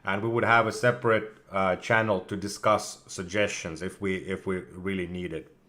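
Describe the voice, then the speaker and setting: A man with a fairly low voice, slightly hoarse from a recent cold, talks casually to his stream audience. He hesitates often, restarts his sentences and stops to clear his throat. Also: steady tone, normal speed, pushed and bright. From Russia. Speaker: community manager of a game studio. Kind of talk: casual livestream chat with viewers.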